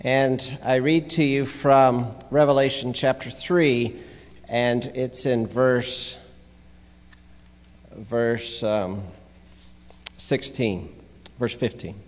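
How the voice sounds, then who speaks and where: A middle-aged man giving a devotional as a pastor, speaking in a measured, halting, reflective way from a pulpit. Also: mid-range voice, steady tone. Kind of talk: sermon with prayer and scripture reading. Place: large church sanctuary, at the pulpit. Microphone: gooseneck pulpit microphone. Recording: echoey church hall with long reverb.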